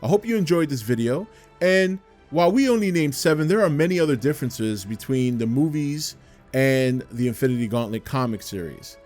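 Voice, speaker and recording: Man, scripted video narration, animated pitch, ordinary register, faint music underneath. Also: brisk. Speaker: video host. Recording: music behind the voice.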